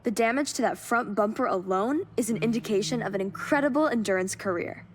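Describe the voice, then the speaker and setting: A woman's voice: on the high side, a little fast, a little hiss or hum, natural voice. A woman playing a keen car hunter in a video game, speaking with enthusiasm and admiration. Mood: admiring, friendly. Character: keen young woman car hunter finding lost classics. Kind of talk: scripted video game dialogue.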